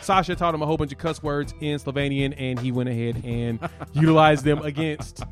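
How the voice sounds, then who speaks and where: A man with a slightly raised pitch co-hosts a sports podcast in a loose, conversational way. He tells stories and laughs easily, with soft music running faintly underneath. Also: brisk. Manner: relaxed, laughs often, storytelling. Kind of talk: casual sports chat.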